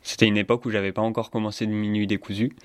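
A man hosting a community radio show, presenting in a relaxed, unhurried way. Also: on the low side, no echo.

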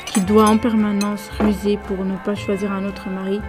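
A woman's voice: medium pitch, little pitch movement, normal speed, music in the background, lightly acted. A teenage girl playing a skeptical, disapproving goddess in a school audio drama. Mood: annoyed.

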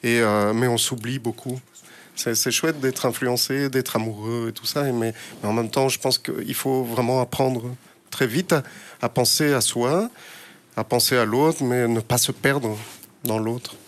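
A man reflecting in a studio discussion, thoughtful and halting, with frequent fillers. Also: mid-range voice, steady tone. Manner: thoughtful, hesitant, halting phrasing. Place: small radio studio.

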